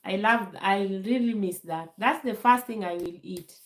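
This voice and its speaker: A woman from Kenya hosting a casual live Q&A, calm and unhurried, laughing often, still getting over a bad cold. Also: medium pitch, echoey room. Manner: calm, slow, laughs often, many fillers. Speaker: livestream host. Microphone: phone held to camera.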